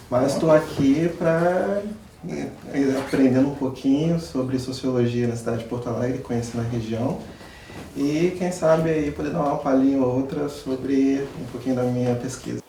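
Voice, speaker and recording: A young man from Espírito Santo introduces himself as a guest in measured, somewhat formal phrasing. His tone is dark and mellow. He sits back from the mic in an echoey room with a faint hiss behind him. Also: medium pitch. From Espírito Santo, Brazil. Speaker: guest, master's student. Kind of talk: self-introduction in an interview. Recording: distant, roomy mic with faint noise.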